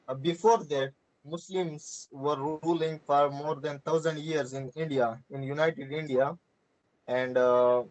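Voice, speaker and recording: A man's voice: fairly high pitch, rough recording. A young man from Pakistan who speaks Urdu as his first language, talking in English with a Pakistani accent and giving hesitant answers full of fillers on a video call. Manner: hesitant, many fillers and restarts. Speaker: interviewee. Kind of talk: casual explanatory answers. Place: small indoor room.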